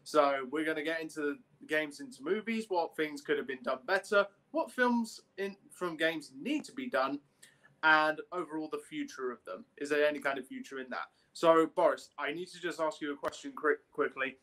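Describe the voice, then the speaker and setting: A man's voice: fairly high pitch, moderate pace, sharp tone. A young man hosting a podcast over a video call, chatting in an informal, jokey way. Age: young adult. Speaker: podcast host. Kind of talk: casual video podcast introduction. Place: small room at home, on video call.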